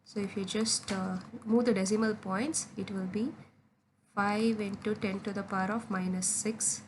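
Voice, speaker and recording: A woman's voice: mid-range voice, fairly even intonation, moderate pace, slight background noise. A woman tutor working through exam solutions step by step, methodically spelling out formulas in short phrases. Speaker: physics tutor.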